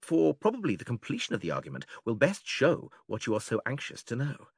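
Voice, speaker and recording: A patient, didactic philosopher leads a formal dialogue step by step. His pitch swings very widely as he questions and instructs. The voice is noticeably husky and recorded close and dry. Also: wildly swooping pitch, fairly quick, dry recording, full character voice. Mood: patient, questioning. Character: male philosopher stranger, patient, methodical, leading an argument. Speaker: audiobook actor reading philosophical dialogue. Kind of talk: dramatised classical dialogue reading.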